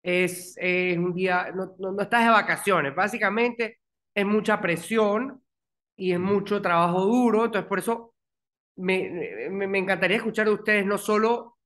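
A man's voice: high-pitched, moderate pace, fairly bright tone. A man in his thirties hosting an interview over a video call, often restarting and repeating words. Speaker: podcast host. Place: small room at home, indoors.

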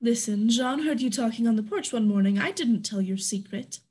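A woman's voice: fairly high pitch, animated, normal speed, mellow, husky. A woman acting a drunk frontier businesswoman in a staged reading over a video call. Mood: tipsy. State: acted drunkenness.

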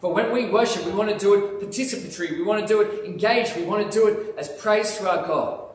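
A man preaching a sermon in a church sanctuary, speaking in an animated way with lots of emphasis. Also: sits high, quick pace, slight background noise. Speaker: pastor. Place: church sanctuary, in front of altar. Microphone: lapel mic, filmed by far camera. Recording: echoey church hall from far off.

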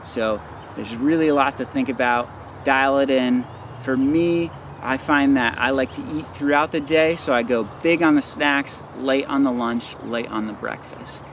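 A man in his thirties giving a casual how-to explanation as an outdoor vlogger. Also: medium pitch. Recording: clear ambient noise behind voice.

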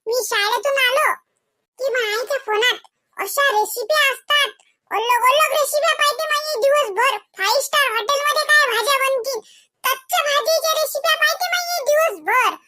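A very high, sharp-edged cartoon schoolboy voice, with a Varhadi Marathi accent. He is a cheeky little schemer, theatrical and mock-earnest, stretching his words for comic effect. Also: dry recording. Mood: mock praise. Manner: theatrical, exaggerated, drawn-out words. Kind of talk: comedy cartoon monologue and dialogue.

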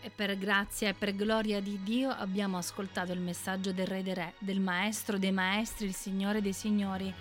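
A woman with a Sicilian Italian accent reads a closing announcement in a measured, solemn way. Her pitch sits in an ordinary middle range. Soft music plays faintly beneath her voice. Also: flat delivery. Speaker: radio announcer.

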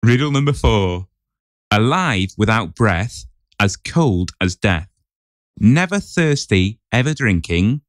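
A man's voice: on the low side, expressive, slow pace, no echo, clean studio sound. A man on a podcast setting a quiz, chatting casually and reading riddles aloud.

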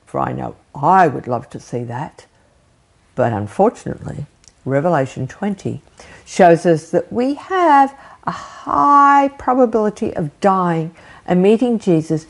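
An older woman preaching a sermon from an armchair at home, talking with her hands and asking her listeners questions. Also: lowish voice. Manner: animated, gesturing, rhetorical questions. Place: living room with bookshelf, indoors. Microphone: fixed camera framing, seated.

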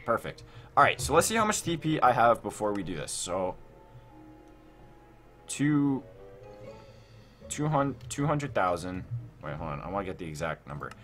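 Low-voiced man commentating on a game. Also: normal speed, pauses often. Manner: excitable, repetitive, thinking aloud. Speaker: gaming video host. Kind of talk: casual Minecraft let's play commentary. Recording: music behind the voice.

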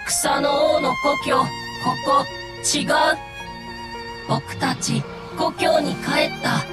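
A woman voicing a small, innocent, devoted mushroom creature in an anime game, in halting, broken, childlike phrases. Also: sits high, level tone, loud music behind the voice. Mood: earnest, sad. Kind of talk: Japanese anime game acting.

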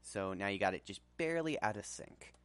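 A man presenting a casual software tutorial in an informal, hesitant way. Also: lowish voice, expressive, brisk, clear, resonant tone.

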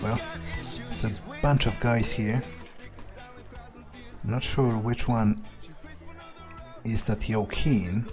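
A man's voice: lowish voice, fairly even intonation, very slow, pauses often, music in the background. A man thinking aloud in character as he plays a video game, musing hesitantly. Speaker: player of a video game playthrough, speaking in character. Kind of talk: in-character roleplay gameplay narration, thinking aloud.

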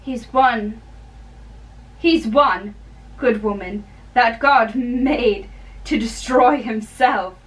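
A young woman giving a theatrical, deliberate dramatic reading of play dialogue. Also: on the high side. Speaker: amateur reader of a play. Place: small bedroom, indoors. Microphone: camera a few metres away. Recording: distant camera in a bedroom.

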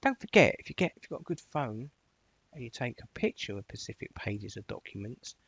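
A man presenting an informal software tutorial, explaining things step by step in a voice-over. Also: medium pitch, big pitch swings, brisk, creaky, breathy edge, no room sound, lo-fi sound.

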